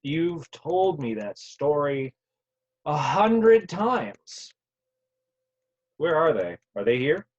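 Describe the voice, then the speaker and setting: A man playing an earnest, grieving grown son from the future in a table read, with a halting, emotional delivery. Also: a little high, big pitch swings, full character voice. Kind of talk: virtual table read of a play. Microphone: video call. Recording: dull, lo-fi online call audio.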